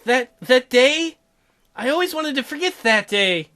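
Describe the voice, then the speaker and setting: A man voicing an earnest, stubbornly caring teenage girl in a visual novel, with a pleading, high-pitched delivery. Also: animated, measured pace, pushed and bright, heavily acted. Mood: pleading, apologetic.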